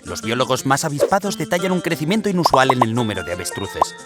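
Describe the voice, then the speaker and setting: Playful male narrator over loud music. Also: mid-range voice, big pitch swings, fast. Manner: playful, ironic delivery. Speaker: show narrator. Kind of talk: scripted narration.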